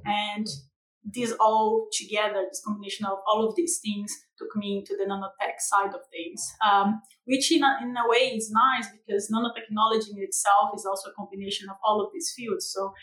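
A woman's voice: medium pitch, flat delivery, brisk, fairly bright tone. Brazilian woman talking tech on a podcast, eager, kinda echoey room. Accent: Brazilian Portuguese. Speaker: guest co-host, engineer. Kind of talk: podcast chat.

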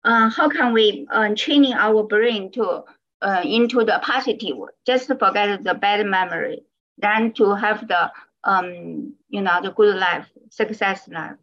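A woman in the audience asking a halting question, searching for her words. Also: mid-range voice, fairly bright tone. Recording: muffled lo-fi line.

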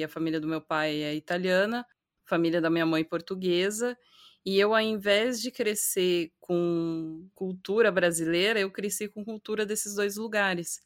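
A woman's voice: on the low side, dry recording, clean studio sound. A woman from the Rio Grande do Sul countryside with a light gaúcho accent mixed with Porto Alegre speech, talking reflectively in a casual conversation. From southern Brazil, Rio Grande do Sul countryside.

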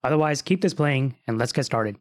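A man hosting a podcast interview at a middle pitch. He opens with a scripted read, then moves into easygoing questions. He keeps an even, everyday tone. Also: brisk, a little hiss or hum. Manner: reads a scripted intro, then asks friendly conversational questions. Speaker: podcast host.

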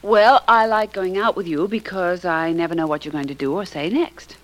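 An actress as a pert, flirty companion. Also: female voice, on the low side, normal speed, natural voice, poor audio. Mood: flirty, curious.

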